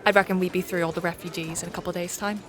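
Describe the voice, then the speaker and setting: Fantasy drama checkpoint guard: actress as obliging female soldier, lowish voice, plain-spoken, clear outdoor street ambience behind. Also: steady tone, rapid-fire, a bit bright, slight background noise, close to an everyday voice. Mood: resigned. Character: helpful, matter-of-fact female soldier at refugee checkpoint. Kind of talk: dark fantasy audio drama acting.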